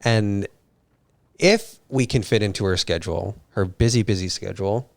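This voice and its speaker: A man's voice: fairly low pitch, moderate pace, vocal fry, dry recording. A man co-hosting a livestream, trading playful jokes and banter. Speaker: livestream co-host. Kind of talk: casual livestream chat.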